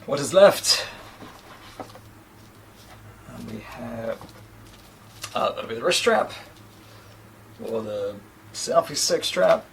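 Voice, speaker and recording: Man, 30s, New Zealand accent, chatty rambling unboxing talk, animated pitch, camera mic in a small room. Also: very slow, vocal fry, long pauses, a little hiss or hum. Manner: chatty, rambling, self-deprecating asides. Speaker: tech reviewer. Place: small indoor room against a plain sheet backdrop.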